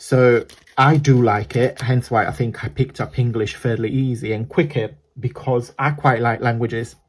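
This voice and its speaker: A man's voice: mid-range voice, fairly even intonation, moderate pace. A man with an Italian accent, chatting casually while showing a crafting tutorial, rambling and correcting himself as he goes. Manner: chatty, rambling, self-correcting, laughs often. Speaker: craft vlogger.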